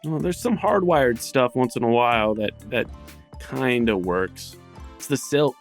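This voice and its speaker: A man's voice: medium pitch, animated, faint music behind. Man playing a stammering, naive young desert outsider. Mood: sheepish, halting. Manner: halting, stammering.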